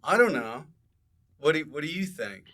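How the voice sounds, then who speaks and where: A man's voice: a little high, very animated, moderate pace, a bit bright. A man hosting a casual comedy podcast, rambling and joking, given to exaggerated outbursts. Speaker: podcast host.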